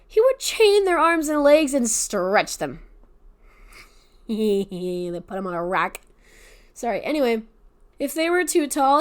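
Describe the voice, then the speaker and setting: A woman hosting a podcast, casually retelling a Greek myth with profanity and sarcastic asides. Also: high-pitched. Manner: swears freely, laughs, adds sarcastic asides. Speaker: podcast host.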